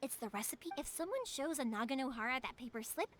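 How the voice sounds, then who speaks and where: High, chirpy young fireworks maker. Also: female voice, animated, fast talker, slight background noise. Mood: upbeat. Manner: chatty, bright and reassuring. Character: cheerful, kind-hearted young woman fireworks maker. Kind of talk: anime-style video game voice acting. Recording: game music behind the voice.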